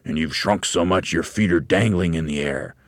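A man voicing a nasty, mocking old husband in a children's storybook, taunting with emphatic, drawn-out pronouncements. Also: low-pitched, dry recording, clean studio sound. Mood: gloating accusation. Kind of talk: theatrical children's storybook reading.

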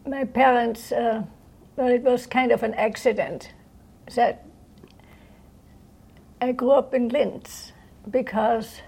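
An elderly woman from Austria recalling her life at home in an unscripted way, halting as she searches for words. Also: fairly high pitch, creaky, warm tone, slightly rough. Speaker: interviewee recounting life history. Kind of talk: unscripted reminiscence. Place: indoors in own home.

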